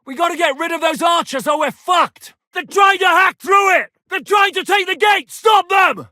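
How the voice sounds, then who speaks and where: An actor playing a gruff, battle-hardened war commander in a video game, blunt and coarse as he barks orders. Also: extremely high pitch, normal speed, sharp tone, dry recording, shouting, studio quality, exaggerated voice. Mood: commanding. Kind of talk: game voice lines.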